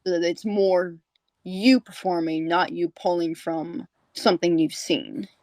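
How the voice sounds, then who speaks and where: A woman with a fairly low voice hosts a relaxed, conversational interview. She sounds informal and encouraging, and the recording is dry with no room sound. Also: measured pace, no echo. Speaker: podcast host and actor.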